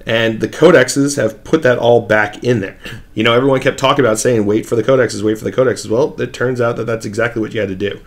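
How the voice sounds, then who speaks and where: A man with a raspy voice hosting his own hobby channel, chatting casually from his home hobby room into a desk microphone. He rambles, with many fillers and restarts. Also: mid-range voice, fairly even intonation, fast. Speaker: channel host. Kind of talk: casual hobby vlog talk while painting. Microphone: new desk microphone.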